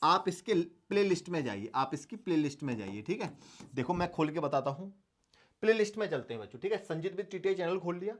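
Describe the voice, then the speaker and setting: A man's voice: sits high, lively intonation, quick pace. Male teacher coaching students. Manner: addresses students affectionately, instructive, repeats points, asks rhetorical questions. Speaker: maths teacher for exam preparation. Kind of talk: online coaching lesson, strategy talk to students.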